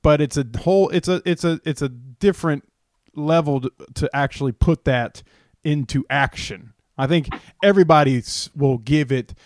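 A man's voice: on the high side, moderate pace, a little mellow, no echo, studio quality. A man hosting an interview, asking long rambling questions full of restarts. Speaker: podcast host. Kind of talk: conversational interview.

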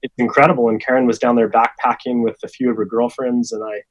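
A man, a guest who lives on a sailboat, telling stories in a relaxed, chatty way. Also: mid-range voice, fast talker, muffled recording. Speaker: guest, sailor living on a boat. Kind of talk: casual storytelling.

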